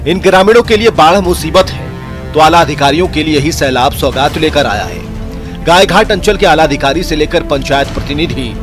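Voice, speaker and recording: A man's voice: a little high, lively intonation, moderate pace. A man delivering a scripted, dramatic news voice-over. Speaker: news narrator. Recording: music bed behind voice.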